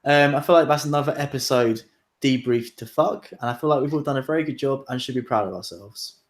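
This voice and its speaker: A man's voice: mid-range voice, a little fast. A man hosting a casual chat show, rambling with lots of fillers and laughs. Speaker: podcast host.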